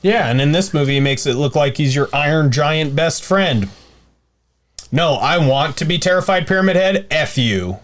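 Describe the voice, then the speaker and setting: A man's voice: a little high, animated, moderate pace, fairly bright tone. A man co-hosting a podcast in casual chat, blunt and sarcastic. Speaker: podcast co-host.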